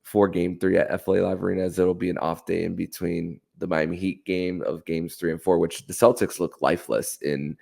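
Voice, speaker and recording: A man with a very low voice and a gravelly creak hosts a sports podcast. He delivers solo hockey commentary with an enthusiastic manner. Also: flat delivery, brisk, hardly any pauses, warm tone.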